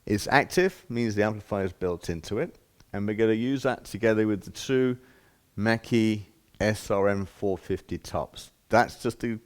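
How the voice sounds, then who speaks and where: A man's voice: fairly low pitch, normal speed. A man giving an informal hands-on demonstration as a shop presenter, explaining step by step in plain, casual words.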